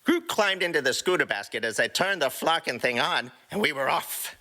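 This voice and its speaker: A man's voice: a little high, lively intonation, moderate pace, sharp tone, voice pushed up. A man voicing a cocky, defensive, snickering raccoon troublemaker in a comic fan fiction audio drama. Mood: cocky storytelling. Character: brash, snickering small male raccoon troublemaker.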